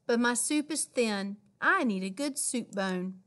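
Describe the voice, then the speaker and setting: A woman's voice: fairly high pitch, animated, a little slow, a bit bright. A woman voicing a lonely, spirited little old lady from a children's storybook, exclaiming and talking to herself. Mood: musing.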